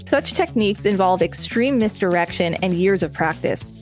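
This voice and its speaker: A woman with a medium-pitched voice co-hosts a reading podcast. She alternates between scripted article text and informal chat, with light music in the background. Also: expressive, moderate pace. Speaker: podcast co-host. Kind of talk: reading an encyclopedia article aloud with casual banter.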